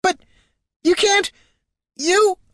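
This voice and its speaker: A man voicing a gnarled, aggrieved old farmer with a high, strained rustic voice in an audiobook. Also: extremely slow, fairly bright tone, no echo, studio quality, exaggerated voice. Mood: outraged. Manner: pleading, indignant outbursts. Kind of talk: audiobook character voice.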